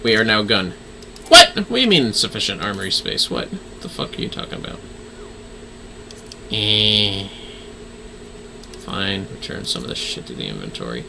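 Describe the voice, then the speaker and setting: A man's voice: on the low side, sing-song, normal speed, vocal fry, pauses often, very noisy. A man livestreaming a video game with casual, offhand commentary and sweary joking asides.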